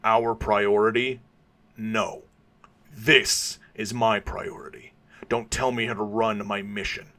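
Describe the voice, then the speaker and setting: A man's voice: low-pitched, level tone, takes it slowly, a bit bright, exaggerated voice. A man voicing a grim, obsessed male vigilante who speaks in terse demands. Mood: grim, demanding.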